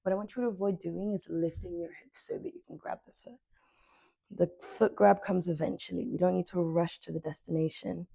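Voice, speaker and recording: A woman in her twenties guiding a morning stretching class, cueing softly and unhurriedly as she moves. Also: fairly low pitch, steady tone, a little fast, creaky, husky, dry recording. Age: 20s. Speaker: yoga instructor. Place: sunlit living room with large windows.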